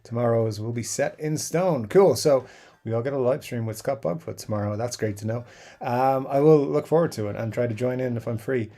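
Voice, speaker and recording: A man's voice: mid-range voice, fairly quick, mellow. A man hosting a guitar channel's live stream, reading viewer comments and chatting in a rambling, casual way full of fillers and restarts. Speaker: YouTube guitar channel host.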